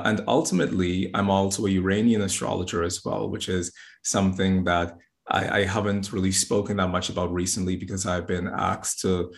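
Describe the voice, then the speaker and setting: Deep gravelly-voiced man from the Bahamas. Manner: earnest, repeats words as he restarts. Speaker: astrologer and yoga teacher, guest. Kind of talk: casual interview talk.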